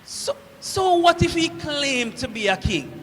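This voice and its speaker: Man acting a very high-pitched, shaken Roman centurion. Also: sing-song, fairly bright tone, slight background noise, heavily acted. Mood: bewildered. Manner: declamatory, deliberate, dramatic pauses. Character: proud Roman centurion, commanding yet shaken by guilt and fear. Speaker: actor performing a dramatic monologue. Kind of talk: theatrical church monologue. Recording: echoey hall with long reverb.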